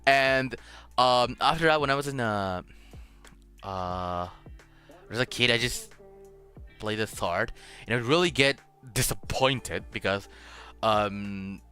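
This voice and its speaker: A man hosting a casual streamed chat, halting as he searches for words in a language that is not his native Japanese, sometimes switching to Spanish. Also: mid-range voice, pushed and bright, clean tone. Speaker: host of a streamed radio show. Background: music.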